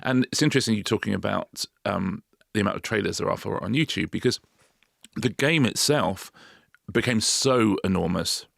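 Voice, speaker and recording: A man co-hosting a podcast in casual chat, given to wry, quick quips. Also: fairly low pitch, creaky, fairly bright tone, no echo, clean studio sound. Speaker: podcast co-host.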